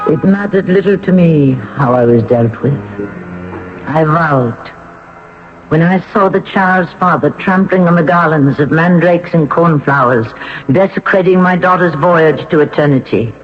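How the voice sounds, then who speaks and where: A woman's voice: low voice, unhurried, faint music behind. An actress playing a proud, grieving Egyptian queen and mother with a solemn, dramatic manner in 1970s radio drama. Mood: bitter grief. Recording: muffled vintage radio broadcast.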